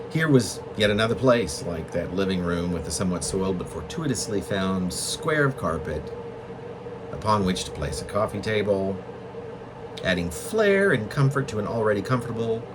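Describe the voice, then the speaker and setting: A man with a low voice reads his own poetry with lively, swinging intonation. His phrasing is deliberate and halting, pausing in the middle of thoughts. The recording has a clear background hum, like air conditioning. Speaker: poet reading own work. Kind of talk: literary poetry reading, reflective monologue.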